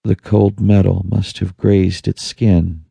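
Very slow, gravelly, low-voiced man. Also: flat delivery, no room sound. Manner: slow, soothing, hushed delivery with long pauses. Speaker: audiobook narrator. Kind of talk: guided meditation, scripted narration.

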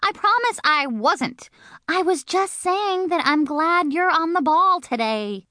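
A shrill, whiny little tattletale. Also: female voice, lively intonation, slow, sharp tone, no room sound, studio quality, heavily acted. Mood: pleading. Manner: squealing, pleading, shrill.

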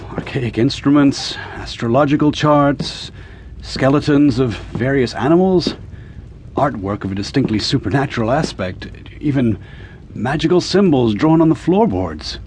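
An actor playing an educated, uneasy assistant in a radio drama, with formal, literate speech that turns hesitant. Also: male voice, a little high, a little mellow, slight background noise. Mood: awed. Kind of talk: radio drama acting and first-person narration.

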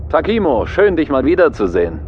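A man playing a businesslike official who briefs a pilot over a video link in an audio drama, speaking in a brisk, matter-of-fact way. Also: on the high side, huge pitch swings, muffled recording, noisy background. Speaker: planetary commission official.

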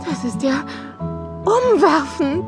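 A woman playing a pregnant, level-headed mammoth who gently teases and reassures, in a children's audio play. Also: high voice, big pitch swings, slow pace, music in the background, exaggerated voice. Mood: delighted, teasing. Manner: teasing, reassuring.

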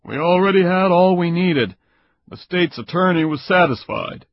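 A man voicing a stern male state police investigator, curt and forceful. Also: high-pitched, animated, normal speed, voice pushed up. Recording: dull lo-fi recording.

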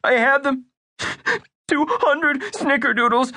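A woman's voice: pushed and bright, heavily acted. A woman voicing a worried, whiny young boy telling about his lost cookies. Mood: upset. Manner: high, pleading, rushed. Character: anxious young boy client, whiny and upset.

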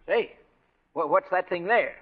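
An actor playing a blustering, soft-hearted old sea captain with folksy, gruff talk in a radio drama. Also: male voice, sits high, animated, a little slow, full character voice. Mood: gruff, sparring. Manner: folksy, rough-grammared, gruff sparring. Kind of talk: 1940s radio drama acting. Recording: muffled vintage radio broadcast.

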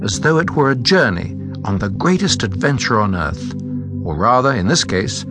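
A man narrating a children's story from a script, with expressive, suspenseful phrasing. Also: mid-range voice, normal speed, over music.

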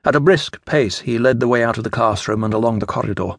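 A man's voice: mid-range voice, fast, gravelly, fairly bright tone, no room sound, studio quality. A man with a British accent reading scripted narration from a children's story. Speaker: audiobook narrator.

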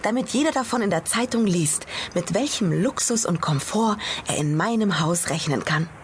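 A woman's voice: fairly low pitch, lively intonation, normal speed, lightly acted. A woman playing a proud, gossipy and worried hotel owner in a German audio drama, effusive and deferential. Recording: ambient noise behind the voice.

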